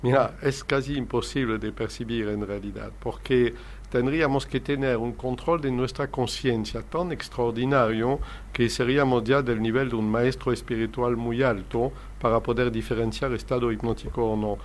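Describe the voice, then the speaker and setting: A man's voice: mid-range voice, fairly even intonation, normal speed. An older man who speaks Spanish as a second language, a hypnotherapist explaining his work in an earnest, lecturing way during an interview. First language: not Spanish. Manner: earnest, explanatory, non-native Spanish phrasing. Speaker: hypnotherapist guest.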